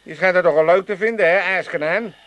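A crabby old father in a radio comedy. The voice sits high for a man and is recorded close and dry. He grumbles, wheedles and mocks with sarcastic timing. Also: fast talker, a bit bright, no echo. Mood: grumpy, mocking. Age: older. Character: grumpy, scheming old father craving a drink. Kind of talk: 1980s Dutch comedy radio drama acting.

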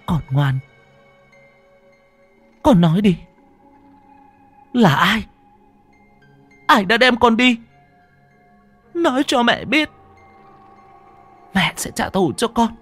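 A man's voice: very high-pitched, very animated, slow, long pauses, a bit bright, dry recording, faint music behind, voice pushed up, full character voice. A man playing a grieving young mother who pleads in a strained, desperate voice.

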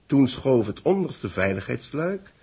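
An actor playing a knowing, measured male newspaper reporter in a 1960s Dutch radio drama, with a gravelly, husky voice. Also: mid-range voice, sing-song, warm tone, heavily acted. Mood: explaining, grave.

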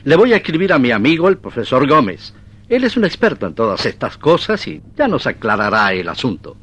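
A folksy boss man, husky, pitch all over the place, musing out loud, old radio play. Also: very animated, brisk, heavily acted. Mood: amused, puzzled. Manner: hesitant, thinking aloud. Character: musing, folksy male company president. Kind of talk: 1970s-80s comic radio drama acting.

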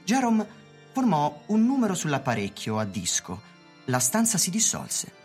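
A woman's voice: low voice, huge pitch swings, moderate pace, a little mellow. A woman reading literary narration for a radio theatre production. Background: music.

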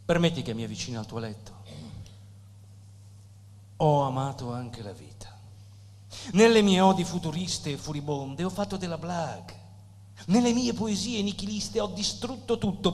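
An actor playing a tormented, nihilistic poet who confesses with solemn emphasis and dramatic pauses in a theatrical style. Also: male voice, fairly high pitch, lively intonation. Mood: passionate. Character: tormented, nihilistic male poet Alvaro de Campos, passionately confessing. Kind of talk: theatrical stage acting. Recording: reverberant hall with faint hum.

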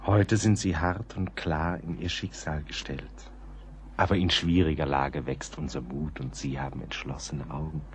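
An actor playing a grave, reflective gentleman who narrates a German radio play in a measured, often hushed way. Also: very deep voice, steady tone. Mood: gentle, earnest. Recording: faint background noise.

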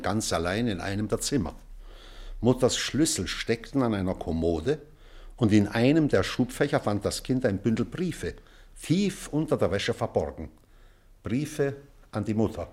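An actor playing a weary, remorseful old male schoolteacher in a literary drama, pensive and measured, with weighty pauses. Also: on the low side, darkish tone. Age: older. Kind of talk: German literary stage drama.

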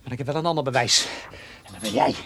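An actor playing an amorous, teasing young sporty boyfriend in a radio play. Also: male voice, mid-range voice, fast, over a noisy crowd, close to an everyday voice. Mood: earnest.

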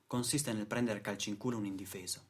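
A man's voice: on the low side, fairly even intonation, fast, breathy edge. A man performing a scripted theatrical monologue for radio, in a rhetorical, declamatory manner.

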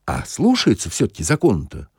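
A man's voice: lowish voice, wildly swooping pitch, moderate pace, breathy edge, dry recording, clean studio sound, heavily acted. A man voicing a curious, credulous village watchman with rustic, eager speech in a satirical audiobook story. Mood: eager, amazed.

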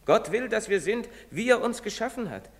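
An actor playing a priest who urges a young man fervently, then confesses in hushed whispers. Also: high-pitched, expressive, normal speed. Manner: preaching, insistent, dropping to guilty whispers. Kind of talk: radio play acting. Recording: long reverberant studio echo.

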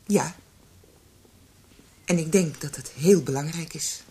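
A woman's voice: sits low, takes it slowly, warm tone, husky, full character voice. An actress playing an earnest, loyal woman in a radio drama.

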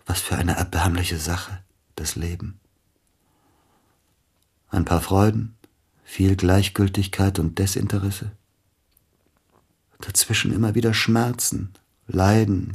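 A man's voice: low voice, steady tone, slow, long pauses, breathy edge, heavily acted. A man playing an aging, bitter, melancholy celebrated pianist in a radio play, speaking in a formal, brooding, theatrical way. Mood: grieving, melancholy.

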